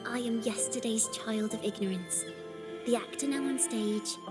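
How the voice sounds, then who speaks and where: An actress playing an earnest, poetic young woman in a video game, telling her story with gentle, solemn theatricality. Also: a little high, moderate pace, lightly acted. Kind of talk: dramatic video game narration. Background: clear background music.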